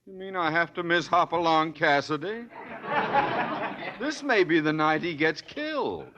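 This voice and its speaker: A grumbling, henpecked husband from an old radio comedy. His droll, plaintive lines swing widely in pitch. The recording is muffled and buried in loud crackly noise. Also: expressive, unhurried, over a noisy crowd. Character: henpecked, bumbling, grumbling middle-aged husband. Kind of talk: 1940s radio situation comedy acting.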